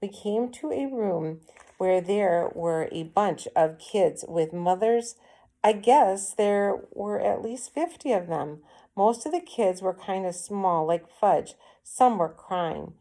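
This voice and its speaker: A woman's voice: medium pitch, slow, mellow. A woman reading a children's story aloud as a first-person narrator. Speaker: read-aloud reader.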